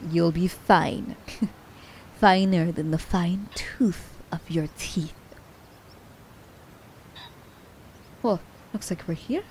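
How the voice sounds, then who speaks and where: A woman's voice: lowish voice, a little mellow, a little hiss or hum. A woman playing a sly, commanding assassin who teases her captive werewolf in an ASMR roleplay, with a haughty, teasing manner. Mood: teasing, relaxed.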